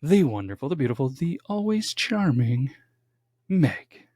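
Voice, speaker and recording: A man's voice: on the high side, big pitch swings, measured pace, warm tone, no echo, studio quality. Chatty man hosting a crime podcast. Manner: chatty, jokey, dramatic build-ups. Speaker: podcast co-host. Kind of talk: casual true-crime podcast chat. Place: home studio.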